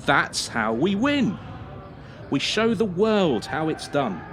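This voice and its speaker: Cold patronising male coach, radio play, officious, highish voice, faint noise behind. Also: big pitch swings, slow, a bit bright, heavily acted. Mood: brisk, commanding. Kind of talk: radio drama acting.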